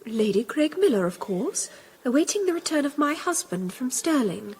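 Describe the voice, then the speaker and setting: A woman's voice: sits high, sing-song, normal speed, darkish tone, breathy edge, full character voice, low-quality recording. An actress playing a deluded female asylum patient who speaks formally and archaically as a haughty noblewoman in a radio drama. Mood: haughty, distant.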